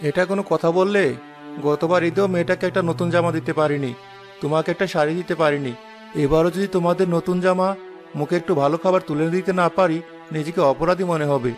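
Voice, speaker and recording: A humble, ailing rickshaw-puller father , acted by a man, in a cartoon drama. He talks quickly and earnestly in a slightly high voice, with faint music underneath. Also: steady tone, lightly acted. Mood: concerned.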